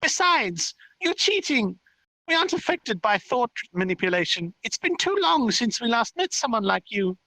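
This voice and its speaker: Man putting on a squeaky, haughty little fairy girl. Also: moderate pace, dry recording, exaggerated voice, rough recording. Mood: whining. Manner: indignant, boastful, babbling excitedly. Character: tiny haughty childish fairy girl claiming demon lordship. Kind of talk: hobby light-novel read-aloud character voice.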